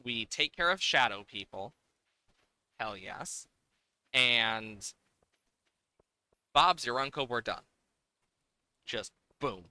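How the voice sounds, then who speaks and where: A man live-streaming a game, chatting casually with viewers in an animated, exclamatory way and sometimes swearing. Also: medium pitch, takes it slowly, long pauses, no room sound.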